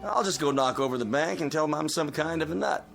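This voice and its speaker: An actor playing a cynical, breezy drinking companion who wisecracks casually, in mid-century television drama style. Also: male voice, on the high side, fast, creaky, soft background music.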